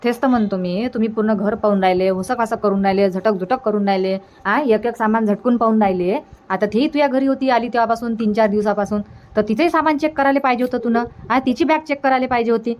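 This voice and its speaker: A woman's voice: a little high, fairly even intonation, fast, darkish tone, natural voice. A woman voicing a practical village mother in a cartoon, gossipy and advising, repeating herself in a Varhadi Marathi accent. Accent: Varhadi Marathi from Vidarbha. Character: practical, reassuring village mother of a married daughter. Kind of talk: colloquial cartoon dialogue.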